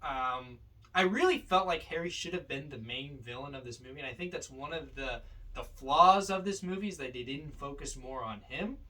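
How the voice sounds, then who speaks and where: A man co-hosting a podcast, casually discussing films in a halting, emphatic, opinionated way. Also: mid-range voice, animated, sharp tone, recorded from a distance, rough recording. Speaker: podcast co-host.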